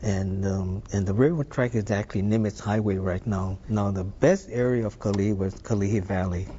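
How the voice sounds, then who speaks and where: An older man raised in Hawaii, telling stories about his past in an unhurried, thoughtful way, with some fillers. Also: fairly low pitch, steady tone, dark tone, highs cut off, slight background noise. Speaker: interview guest. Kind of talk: reminiscing interview.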